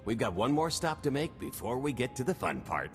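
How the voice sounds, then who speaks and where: An actor playing a cocky, smooth-talking smuggler in a video game cutscene, full of sardonic wisecracks. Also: male voice, medium pitch, big pitch swings, fairly quick, soft background music, natural voice. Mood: smug, teasing.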